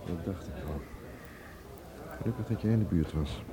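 Casting: suspicious, wry conspirator, low-pitched and courtly, radio play set amid crowd murmur. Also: male voice, animated, normal speed. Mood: wary, sardonic. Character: wary, sardonic Russian schemer with gallant manners. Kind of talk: radio drama acting.

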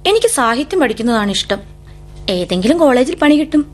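An actress playing a bookish, wistful young woman with quiet defiance, in an introspective, dramatic radio drama style. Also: sits high, sing-song, fairly quick. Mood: pleading. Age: young adult. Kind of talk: radio drama acting with monologue and poetic recitation.

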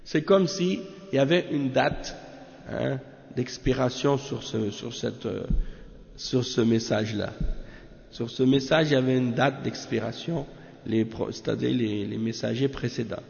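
A man with a cold, teaching a religious commentary and explaining it in halting, restarting French. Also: medium pitch, creaky, darkish tone. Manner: halting, many restarts and fillers. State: has a cold. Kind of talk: reading Arabic text, then explaining in French. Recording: muffled roomy lo-fi recording.

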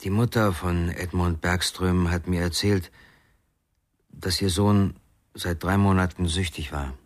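An actor playing a measured, inquiring male doctor in a radio crime drama. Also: sits low, fairly even intonation. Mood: calm, measured. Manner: measured, questioning.

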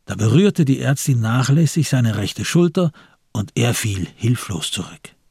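Radio drama narrator: man, lowish and very rough voice, measured pace, dry studio sound. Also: sing-song, a little mellow, no room sound.

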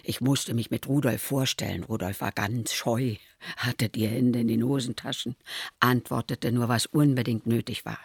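An older woman playing an aging mother who soberly recalls her troubled son in a radio play, her voice low, husky and creaky. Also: steady tone, moderate pace, no echo, studio quality, heavily acted. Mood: sober recollection.

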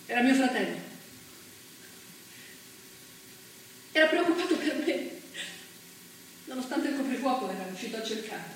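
A woman's voice: fairly high pitch, natural voice. An actress playing a fierce, proud working-class partisan woman, telling her story emphatically in a stage monologue. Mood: tense, determined. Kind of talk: theatrical monologue, dramatic first-person storytelling. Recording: very echoey, distant stage recording, faint noise.

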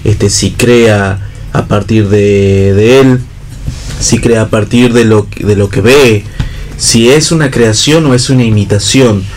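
An Argentine man with a somewhat low voice thinks aloud as a guest at a radio round-table. He speaks haltingly, feeling for each phrase. Slight noise is audible in the recording. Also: darkish tone. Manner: hesitant, searching for words. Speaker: panelist. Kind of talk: reflective casual discussion. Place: radio programme with background ambience.

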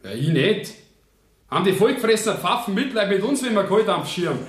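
A blunt Bavarian convict answers in a radio play with brazen defiance. His voice is fairly high for a man and echoes in the room. His replies are clipped and unrepentant. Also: sing-song, fairly quick. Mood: defiant. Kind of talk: German radio play courtroom acting. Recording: echoey 1970s radio drama studio.

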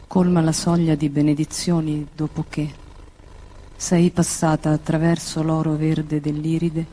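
A woman giving a quiet, measured, restrained reading of poetry. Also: low-pitched, rough recording. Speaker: poet reading own work.

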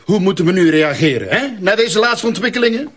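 An actor playing an anxious, agitated painter in a radio play, fretful and questioning. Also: male voice, sits high, animated, fairly quick, fairly bright tone. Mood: worried, agitated.

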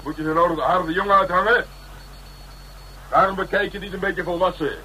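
A tough, taunting police detective in a radio crime drama. His voice is high for a man and forceful, with blunt, streetwise phrasing and sardonic bite. The old recording carries some noise. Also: a little fast, low-quality recording. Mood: taunting, firm.

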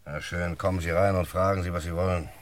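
An actor playing a harried, irritable sales boss in a German crime radio play, curt and hurried. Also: male voice, low voice, steady tone, lightly acted. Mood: grudging. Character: brusque, harried male washing-machine sales boss.

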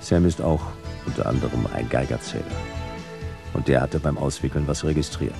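A hardboiled male private eye with an extremely deep, creaky voice. He narrates tersely and drily, world-weary, in noir radio drama style over music. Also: fairly quick. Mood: dry, weary. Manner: terse, dry, deadpan.

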